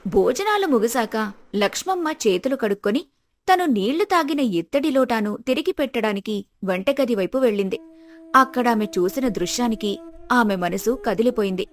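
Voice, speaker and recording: A woman's voice: on the high side, lively intonation, moderate pace. A woman reading scripted narration for a moral story. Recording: music behind the voice.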